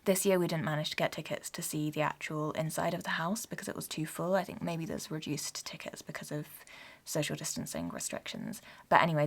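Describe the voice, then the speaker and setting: A young woman from England, chatting casually in a home vlog, gentle and sometimes dropping to a soft whisper. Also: deep voice, creaky, breathy edge. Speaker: vlogger. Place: home, sitting by a Christmas tree.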